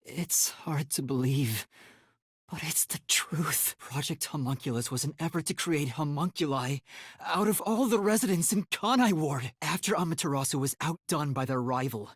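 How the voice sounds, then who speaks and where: Young male trainee detective for an anime video game: sincere, easily flustered, highly expressive intonation, soft husky breathiness, close dry sound. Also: dry recording. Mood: grave, shaken. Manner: earnest, hesitant, prone to startled yelps.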